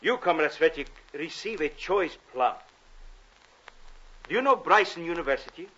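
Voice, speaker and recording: A man's voice: fairly high pitch, lively intonation, normal speed, sharp tone, husky, heavily acted. An actor playing a cold, commanding male Soviet agent in a 1950s radio drama. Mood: menacing. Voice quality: clipped, coldly commanding. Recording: dull old radio broadcast with hiss.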